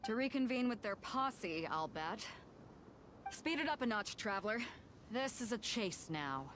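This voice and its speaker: A woman's voice: on the high side, animated, measured pace, noisy background. A woman playing a bold, commanding sea captain in a video game, with a breezy, wry manner. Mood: urgent.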